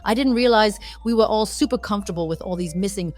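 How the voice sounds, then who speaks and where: Casting: woman playing sardonic, fretful cartoon plane, medium pitch, wry delivery over faint music. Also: fast, darkish tone, natural voice. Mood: worried, sardonic.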